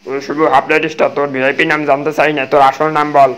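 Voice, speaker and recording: A man playing a rough, impatient army soldier in a comic cartoon, barking and cursing. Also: a little high, flat delivery, quick pace, a bit bright, lightly acted. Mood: interrogating.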